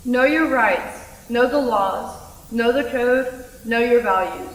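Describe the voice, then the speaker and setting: A woman performing an insistent student in a rehearsed readers theater recitation, measured and emphatic. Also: a little high. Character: insistent female student speaking out about injustice. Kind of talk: rehearsed dramatic group recitation. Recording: echoey hall, distant mic.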